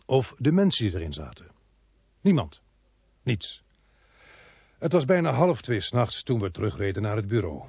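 An actor playing a sober, sardonic police inspector who narrates a murder case in a radio play. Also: male voice, lowish voice, sing-song, moderate pace, vocal fry, pauses often, a little mellow, slightly rough, no echo, heavily acted. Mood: sober, recounting.

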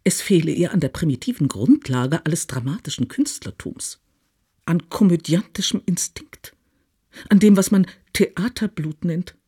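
An actress playing a troubled woman reporting a letter's verdict in a radio drama, with a husky voice. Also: lowish voice, lively intonation, moderate pace, warm tone. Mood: grave, upset.

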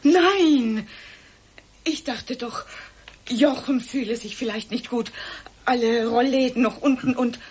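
Husky, distraught woman pleading her innocence. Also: on the high side, darkish tone. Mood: panicked, halting. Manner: halting, pleading, defensive. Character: distraught cornered woman, evasive, pleading, guilt-ridden. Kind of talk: German radio play acting.

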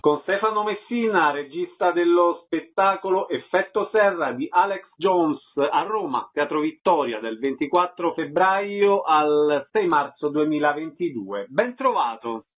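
A man's voice: fairly high pitch, expressive, measured pace, few pauses, faint music behind. A man hosting a radio interview, asking questions and reading out announcements. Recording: phone-like narrow band radio sound.

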